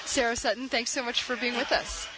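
A woman's voice: on the high side, steady tone, fairly quick, background noise. A woman hosting a radio interview, chatty and often restarting her questions. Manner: chatty, searching for words with many restarts. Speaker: radio show host.

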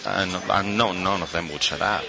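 A middle-aged man from Argentina, a guest artist in a radio interview, speaking thoughtfully with frequent pauses and fillers. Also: sits low, creaky, a crowd in the background. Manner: thoughtful, hesitant with many fillers.

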